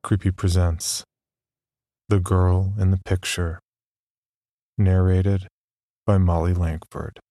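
A man's voice: low voice, little pitch movement, slow, long pauses, dark tone, no room sound, studio quality. A man reading scripted announcements as a podcast host.

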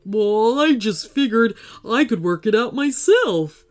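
A woman voicing a friendly, earnest male sea monster from a children's storybook, with drawn-out words. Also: a little high, lively intonation. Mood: sheepish. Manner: slow, drawn-out, earnest delivery. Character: friendly male sea monster, eager dreamer, easily disappointed.

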